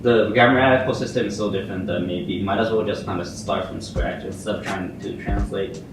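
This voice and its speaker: A man's voice: on the low side, fairly even intonation, brisk. A young man from Japan whose first language is Japanese, speaking in a casual group discussion and laughing often. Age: young adult. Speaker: student panelist. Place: lounge with large windows. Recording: echoey room, from far off, music behind.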